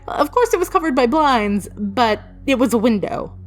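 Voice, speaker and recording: A woman telling a personal story in a casual, informal way, with dramatic pauses for suspense and acted-out thoughts. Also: fairly high pitch, expressive. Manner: casually sweary. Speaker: storyteller, video creator. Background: music.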